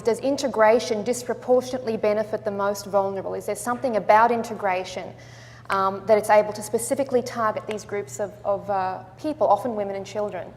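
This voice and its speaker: A woman moderating a conference panel, measured and inviting as she poses questions. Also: medium pitch. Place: conference room. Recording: long hall reverb.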